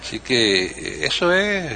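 Gravelly older Cuban man, lively. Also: on the high side, slow, a little hiss or hum. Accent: Cuban, Havana. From Cuba. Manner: musing, easygoing, repeats words. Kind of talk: casual group conversation. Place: lives in Miami.

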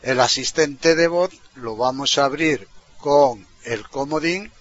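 A man from Spain talks listeners through software step by step in an informal, explanatory way. He often rounds off a phrase with a short questioning tag. The sound is dull and lo-fi. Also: medium pitch, takes it slowly. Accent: Spanish from Spain. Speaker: tutorial presenter. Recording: dull, lo-fi computer microphone recording.

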